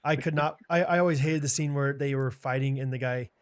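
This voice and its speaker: A man's voice: mid-range voice, fairly even intonation, fairly quick, smooth, no room sound, studio quality. A man with an American accent trading casual banter with friends on a podcast panel.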